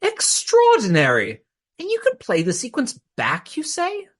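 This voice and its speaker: A man acts out a fussy Regency aristocrat for a radio play. His husky, high voice swoops wildly, exclamatory and courtly, easily flustered. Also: huge pitch swings, a little slow, fairly bright tone, heavily acted. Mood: astonished, flustered.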